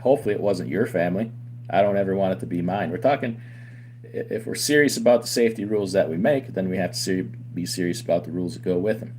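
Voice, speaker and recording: Deep-voiced man ranting emphatically. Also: fast talker, a little mellow, slight background noise. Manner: emphatic and argumentative, with many rhetorical questions. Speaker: owner of a trucking company and channel host. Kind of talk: opinionated commentary to camera.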